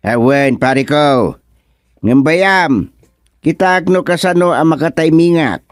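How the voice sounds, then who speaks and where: A man's voice: a little high, slow pace, no room sound, clean studio sound. A man acting a hesitant, easily tempted married village friend in an Ilocano radio comedy drama, with an Ilocano Filipino accent and questioning manner.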